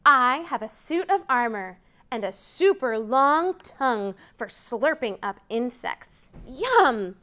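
Lively, high-voiced woman reading a storybook. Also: slow. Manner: animated storytime reading with rising counts. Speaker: children's librarian. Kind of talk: reading a picture book aloud to children. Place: library program room, indoors.

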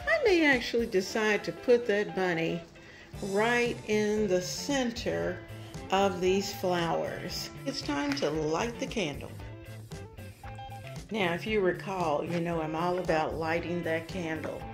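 A woman's voice: on the low side, lively intonation, slow pace, music in the background. An older woman hosting a home decor vlog, talking casually and encouragingly as she demonstrates.

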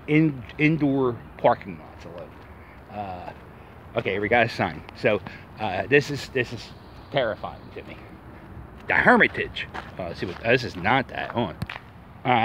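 A man's voice: medium pitch, fairly bright tone, a little hiss or hum. A man in his thirties from Philadelphia, vlogging while walking through a wooded park, talking to himself in an anxious, joking ramble into a phone held at arm's length. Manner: anxious rambling self-talk, jokey. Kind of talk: walking selfie vlog.

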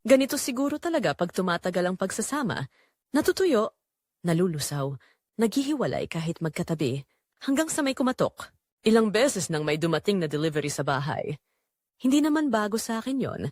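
A woman performs a neglected wife in her forties, wistful and conflicted. Her pitch moves in lively swings as she confides in short dramatic phrases. The recording is dry and close, in the style of an audio drama. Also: no echo. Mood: wistful, drawn in. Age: 40s. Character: Rosa, lonely neglected forty-three-year-old wife, wistful and conflicted. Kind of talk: first-person dramatic audio story narration.

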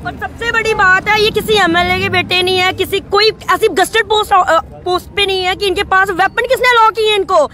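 Indignant young woman, very high sharp voice. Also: fairly even intonation, moderate pace, few pauses, some chatter behind. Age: young adult. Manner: indignant, emphatic. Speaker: news interviewee. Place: outdoor street interview. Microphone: handheld reporter microphone.

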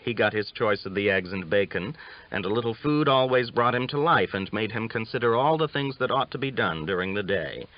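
A man reading a western novel aloud as a scripted audiobook narration. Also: on the low side. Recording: dull lo-fi audiobook recording.

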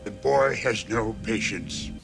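An actor playing an ancient, tiny alien Jedi master who speaks in backwards word order with a croaky creature voice. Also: male voice, lowish voice, slow pace, fairly bright tone, exaggerated voice, low-quality recording. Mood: solemn. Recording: film soundtrack with music and rain.

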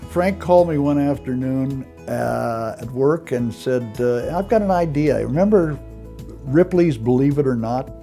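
Male interviewee, extremely mellow with vocal fry, anecdotal delivery. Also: mid-range voice, lively intonation, a little slow. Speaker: illustrator interviewed for documentary. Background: music.

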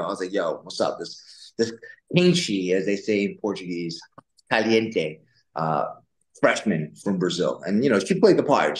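Playful man in a roomy, echoey space. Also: fairly low pitch. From born in Taiwan. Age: 40s. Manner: playful, joking, digressive. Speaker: podcast guest. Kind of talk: casual conversational storytelling. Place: indoors in a pool house.

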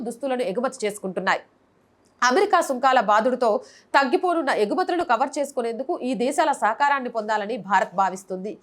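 A woman journalist reading scripted news narration as a presenter. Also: a little high.

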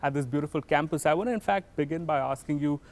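A man's voice: on the high side, fast talker, a little mellow. Man in his thirties hosting a TV interview. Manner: polite, conversational questioning. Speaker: tv host interviewer. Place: outdoors on campus stairs.

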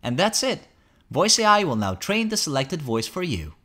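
Man narrating a tutorial with lively intonation. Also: on the high side, moderate pace. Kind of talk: scripted promotional tutorial narration.

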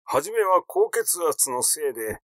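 A man's voice: on the high side, big pitch swings, unhurried, a bit bright, no room sound. A man voicing a cautious, defensive male doctor in an audiobook, formal and dismissive in manner. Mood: apologetic.

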